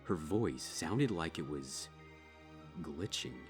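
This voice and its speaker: Casting: male storyteller with a profoundly deep voice for scripted horror narration over soft music. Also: sing-song, slow. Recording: music behind.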